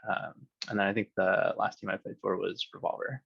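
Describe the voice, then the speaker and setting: A man's voice: lowish voice, flat delivery, brisk, creaky, a bit bright, breathy edge, dry recording. A man introducing himself in a documentary interview, brief and matter-of-fact. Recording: dry lo-fi audio.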